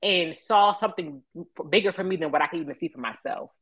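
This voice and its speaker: A woman's voice: on the low side, quick pace, fairly bright tone, breathy edge, no echo. An American woman in her thirties talking conversationally as an interview guest, recounting experiences and voicing remembered dialogue. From Baltimore, United States. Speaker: interview guest, media professional. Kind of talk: conversational personal storytelling.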